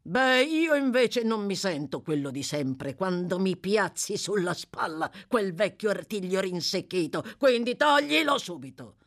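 A woman's voice: mid-range voice, very animated, a little slow, few pauses, pitched above the performer's own voice. A woman voicing a brutal, short-tempered male thief in an audiobook, with a brusque, bullying, sneering manner. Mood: scornful, irritated.

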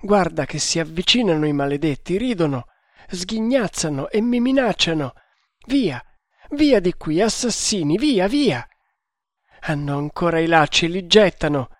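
A man's voice: on the high side, takes it slowly, no room sound, clean studio sound. A man voicing a delirious wounded hunter, frantic and menacing, in a theatrical raving manner for an adventure audiobook. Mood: panicked raving. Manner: raving, theatrical, swinging into shouts. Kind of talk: dramatic adventure audiobook reading.

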